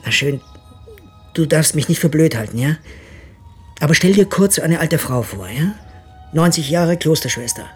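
An actor playing a troubled, sceptical husband and father in an intimate radio drama, with a husky voice. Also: on the high side, animated, moderate pace, pauses often, faint music behind. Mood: brooding, hushed.

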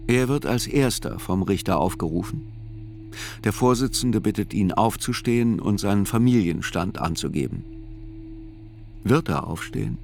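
An actor playing a writer who narrates a trial in a radio play, in a measured, sober, observant way. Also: male voice, on the low side, gravelly, a little hiss or hum.

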